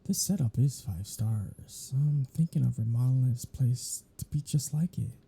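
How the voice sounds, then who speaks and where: A man playing a captive vampire in an audio roleplay, snarky, taunting and flirtatious, drawling sarcastic lines in a husky, gravelly voice. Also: medium pitch, normal speed, warm tone. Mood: wry, taunting. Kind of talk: scripted audio roleplay monologue.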